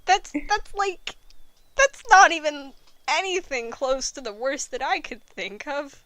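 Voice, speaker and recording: A woman's voice: sits high, a little slow, bright, forceful tone, dry recording. A woman giving casual, mocking commentary, sarcastic and exclaiming, slipping into joking little skits. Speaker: co-host commenting on fan fiction.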